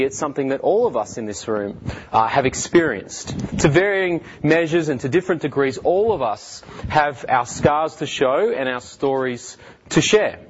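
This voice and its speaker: Earnest Australian man with halting delivery. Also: a little high, lo-fi sound, slight background noise. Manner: earnest, halting with many fillers and restarts. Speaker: guest speaker. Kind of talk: personal testimony talk.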